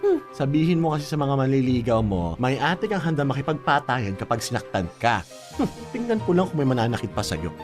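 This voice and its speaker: A woman's voice: a bass voice, very animated, fairly quick. A woman playing a fiercely protective older sister in a radio drama, scolding and blunt but loving, with emphatic delivery. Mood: tender. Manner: scolding, emphatic, with frequent tag questions. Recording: music under the voice.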